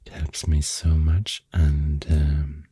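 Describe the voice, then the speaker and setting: A man with a Swedish accent rambles in soft-spoken, near-whispered ASMR, gentle and unhurried, close to the microphone. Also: completely flat delivery, no room sound. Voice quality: breathy, near-whispered deep voice. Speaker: ASMR video host.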